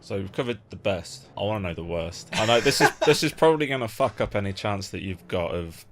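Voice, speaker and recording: Low-voiced man hosting casually. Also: lively intonation, a little fast. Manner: informal, blunt, mild swearing. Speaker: interviewer, host. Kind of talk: casual interview chat. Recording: lo-fi amateur setup, music underneath.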